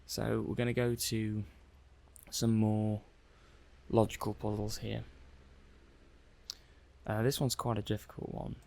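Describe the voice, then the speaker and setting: A man acting an affable technician in an ASMR sci-fi roleplay. His voice sits fairly low with plenty of gravelly creak. He talks softly and hesitantly, thinking aloud as he runs tests on a robot, a little flustered. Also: completely flat delivery, slow, pauses often. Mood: puzzled, methodical. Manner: hesitant, musing aloud, conversational. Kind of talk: soft-spoken ASMR roleplay.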